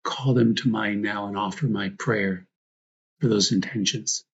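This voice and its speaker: Male priest for guided meditation: gravelly, husky, reflective, short prayerful phrases, slightly dull recording. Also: on the low side, a little slow.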